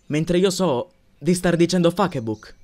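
A man's voice: a little high, unhurried, darkish tone, close to an everyday voice. A young man dubbing an oblivious, matter-of-fact and unruffled friend in an amateur comedy sketch. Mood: obliviously calm. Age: young adult. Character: oblivious, stubbornly calm young man, slightly dim.

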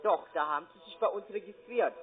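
High-voiced salesman on a tinny phone. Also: a little fast, husky. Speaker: call centre sales agent. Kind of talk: cold sales call. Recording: tinny narrowband phone line.

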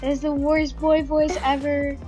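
Blunt teasing woman, high and monotone, over slightly muffled chat audio. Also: measured pace, soft background music. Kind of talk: casual voice chat.

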